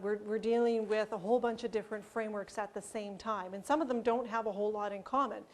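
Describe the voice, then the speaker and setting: A woman giving a conference presentation on language teaching, explaining ideas and pausing with fillers. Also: fairly high pitch, a little mellow.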